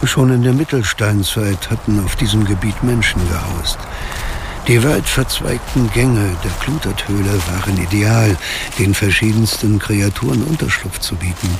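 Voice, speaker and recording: A man narrating a radio drama as a brooding storyteller of a dark mystery, in a measured, ominous manner. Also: on the low side, vocal fry, noisy background.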